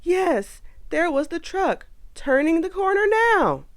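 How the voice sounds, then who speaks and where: Slow, lively woman reading a storybook. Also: extremely high pitch, background noise. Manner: expressive, animated storytelling. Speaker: storybook narrator. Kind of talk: scripted children's read-aloud narration.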